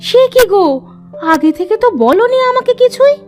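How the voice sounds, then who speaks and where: A woman plays a sweet-tempered young wife in a village cartoon. The voice is extremely high, tender and plaintive. Faint music runs underneath. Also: animated, normal speed, a little mellow. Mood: puzzled, concerned. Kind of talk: Bengali moral-story cartoon voice acting.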